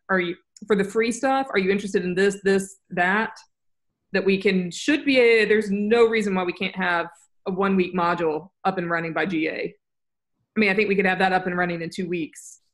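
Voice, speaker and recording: A woman's voice: mid-range voice, steady tone, fairly quick. A woman producing online courses, talking in a casual, rambling and upbeat way on a video call. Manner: rambling, upbeat, self-correcting.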